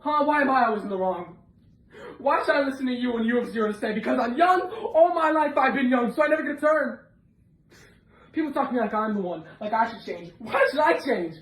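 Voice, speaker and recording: An amateur actress playing a defiant, indignant young student in a challenging, fired-up stage monologue. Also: female voice, sits high. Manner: challenging, fired-up rhetorical questions. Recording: roomy, distant microphone.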